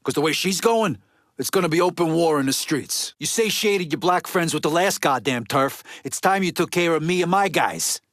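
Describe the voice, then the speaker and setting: A man's voice: on the high side, expressive, normal speed, a bit bright, dry recording. A hard-boiled gangster from a crime video game, speaks in a somewhat raised male register. He is blunt and bitter, with slangy, profane, streetwise phrasing. The sound is dry, with no room around the voice. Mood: confrontational. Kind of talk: video game character dialogue.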